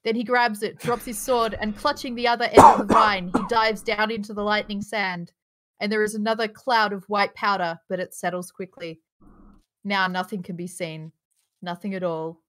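A woman's voice: mid-range voice, level tone, moderate pace, a bit bright, rough recording. A woman reading stage directions as narrator of a table read on a group video call, in a dramatic storytelling manner. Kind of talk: scripted narration read aloud.

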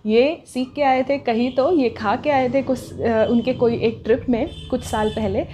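A woman's voice: a little high, fairly even intonation, a little slow, warm tone, slight background noise. A woman in her thirties hosting a home cooking show, chatting casually and asking guiding questions. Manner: encouraging, asks guiding questions. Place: home kitchen, indoors.

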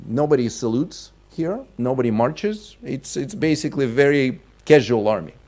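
A man's voice: medium pitch, lively intonation, normal speed, a little mellow. A middle-aged man from Russia giving a conference keynote at a lectern in a large hall, explaining step by step and often restarting and repeating words. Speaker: keynote speaker. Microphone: lectern microphone.

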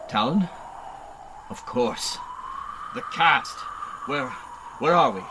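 Guy acting wisecracking space adventure hero, old time radio style, regular guy pitch, noisy background. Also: animated, takes it slowly. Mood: groggy. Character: plucky, wisecracking human male adventure hero.

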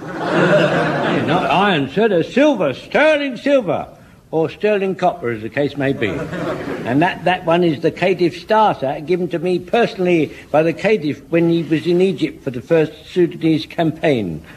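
A man's voice: a little high, expressive, a little slow, a little hiss or hum. An actor playing an eager, rambling old army corporal who mangles his grammar, in a radio sitcom. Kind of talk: 1970s radio sitcom acting.

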